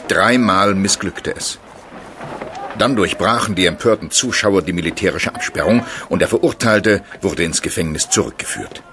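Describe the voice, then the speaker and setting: A man's voice: low voice, normal speed, sharp tone, slight background noise. A man narrating a scripted crime radio drama as a grave storyteller. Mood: grave storytelling.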